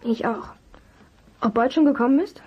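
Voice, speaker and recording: An actor voicing a keen, inquisitive child detective in a German children's radio play, brisk and full of questions. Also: medium pitch, breathy edge, highs cut off, natural voice. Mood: restless.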